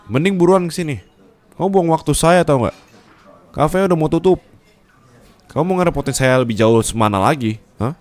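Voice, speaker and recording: A man's voice: medium pitch, darkish tone, close to an everyday voice. A man playing a curt, sarcastic young office boss in an ASMR roleplay drama. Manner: haughty, scolding, insulting.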